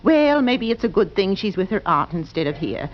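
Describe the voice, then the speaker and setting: An actress playing an anxious middle-aged London housewife in a 1940s radio drama, fretful and emphatic. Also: female voice, mid-range voice, very animated, moderate pace, natural voice. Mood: anxious, gossipy. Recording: dull, noisy 1940s radio recording.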